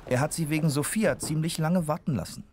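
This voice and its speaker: A man's voice: a little high, fairly quick, a little mellow, a little hiss or hum. A man narrating a children's radio play from a script.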